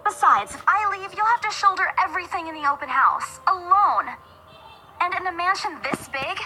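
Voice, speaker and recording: A woman's voice: extremely high pitch, moderate pace, sharp tone, a little hiss or hum, full character voice, rough recording. A woman voicing a young saleswoman in a visual novel game who brushes off her own injury in a breezy, reassuring way. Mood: insistent. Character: young female saleswoman shrugging off a fall. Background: background game music.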